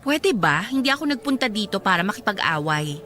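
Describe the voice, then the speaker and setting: An actress playing an insistent, scheming bride who coaxes and pleads, in an emotional radio drama style. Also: female voice, mid-range voice, very animated, brisk, pushed and bright. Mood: evasive. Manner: coaxing, wheedling persistence. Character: insistent, scheming bride, pleading with her resentful half-sister.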